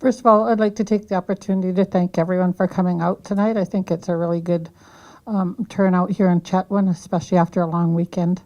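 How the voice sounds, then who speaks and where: A woman candidate giving a hesitant campaign speech, clearing her throat now and then. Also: fairly low pitch, flat delivery, quick pace, a little mellow, muffled recording. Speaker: political candidate. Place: community hall.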